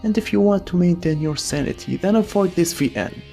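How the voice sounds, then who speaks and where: Lively, gravelly voice-over narrator. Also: medium pitch, fairly quick, faint music behind. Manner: opinionated, wry asides. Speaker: video essay narrator. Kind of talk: scripted voice-over commentary.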